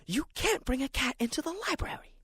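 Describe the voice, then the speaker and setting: A performer voicing a stern, skeptical woman librarian in a radio drama, reproving and a little motherly in a hushed way. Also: high-pitched, animated, fairly quick, no echo, pitched above the performer's own voice. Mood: disapproving.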